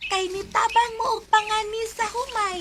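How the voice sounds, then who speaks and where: A sweet young country girl from a radio drama, her voice sitting very high and light, as an actress plays her. She speaks softly and shyly. Noisy outdoor ambience with birds runs behind her. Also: fairly even intonation, moderate pace. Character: young village girl schooled in the city. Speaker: Lablab, a girl the boys admire.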